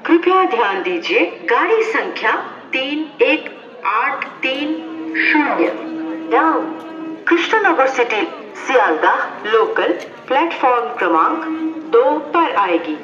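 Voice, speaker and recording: A woman's voice: high-pitched, big pitch swings, a little slow, music in the background. Echoey, distant woman announcing trains. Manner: formal, stitched phrase-by-phrase delivery. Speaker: railway station announcer. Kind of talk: scripted public train announcement, repeated in three languages. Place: railway station public address speakers. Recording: echoey station PA from afar, muffled, crowd.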